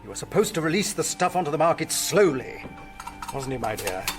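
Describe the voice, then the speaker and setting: A man's voice: a little high, very animated, brisk, loud music behind the voice. A man playing a blustering, anxious and greedy landowner in a period detective radio drama. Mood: indignant. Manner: blustery, indignant.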